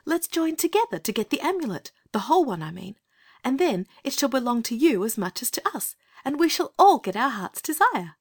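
Storybook little girl, English, adult female performer: high, animated, kindly, earnest and persuasive. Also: moderate pace, no echo, clean studio sound. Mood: kind, pleading. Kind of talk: dramatized children's storybook reading.

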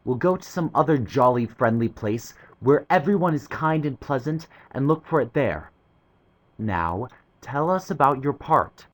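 Man doing a hearty, lively schoolboy for an audiobook. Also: medium pitch, unhurried, voice pushed up. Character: cheerful, sensible young boy. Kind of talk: audiobook character voice.

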